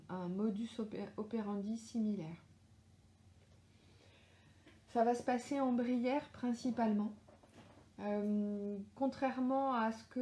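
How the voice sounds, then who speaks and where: A middle-aged woman speaks with a strong French accent in a mellow, relaxed tone, chatting with readers and stopping often to search for words. Her voice carries a noticeable echo from the room at home where she records. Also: fairly high pitch. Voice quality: hesitant, many fillers and restarts. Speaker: author answering readers live. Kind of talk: casual live Q&A chat. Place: home, lives in Australia.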